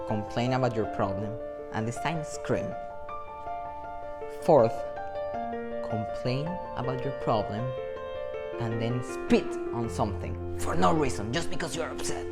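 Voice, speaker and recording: Animated young Venezuelan man, music behind. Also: mid-range voice. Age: 20s. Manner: animated, playful, acts out imagined dialogue. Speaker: motivational speaker. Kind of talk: talk to camera.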